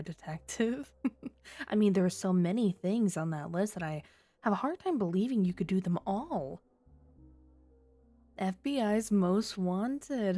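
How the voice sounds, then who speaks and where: A woman's voice: mid-range voice, dark tone, no echo. A woman playing a flirty, teasing female criminal who toys with a detective in a coy, sultry ASMR roleplay. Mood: mock impressed. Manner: coy, sultry, playful innuendo.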